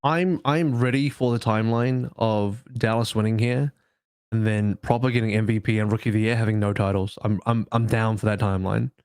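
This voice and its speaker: Guy on esports podcast, talks really fast, very dry close sound, casual chat. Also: fairly low pitch, fairly even intonation, darkish tone, smooth, no echo. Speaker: podcast panelist. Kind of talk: casual esports panel discussion.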